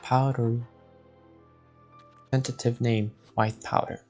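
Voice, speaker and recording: A young man live-streaming a game, casually commenting and reading aloud in a halting, stumbling way. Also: medium pitch, little pitch movement, darkish tone. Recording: game music behind the voice.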